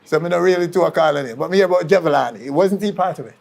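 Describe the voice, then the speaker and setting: A man with a Jamaican accent and a fairly high voice hosts an interview. He probes with questions and repeats answers back as he goes. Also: racing, gravelly, darkish tone. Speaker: interview show host. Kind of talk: onstage-style television interview.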